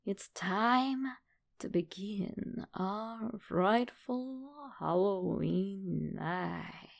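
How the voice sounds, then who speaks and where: A woman host reading horror stories aloud in a deliberate, theatrical narrating style. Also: medium pitch, gravelly. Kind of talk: dramatic scripted story narration.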